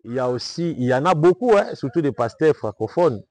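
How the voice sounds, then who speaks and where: A man's voice: medium pitch, animated, fairly quick, darkish tone. A man with a Congolese French accent from Kinshasa, a self-styled prophet answering interview questions in a preaching manner, emphatic and repetitive, often asking "vous comprenez?".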